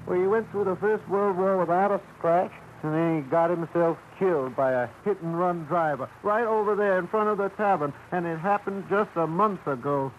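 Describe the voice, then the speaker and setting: An actor playing a folksy, gossipy old American idler with a creaky voice and rambling, unhurried talk, in 1940s radio style. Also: male voice, sits high, lightly acted. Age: older. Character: slow-talking old small-town male idler, gossipy, folksy. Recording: dull, noisy old radio recording.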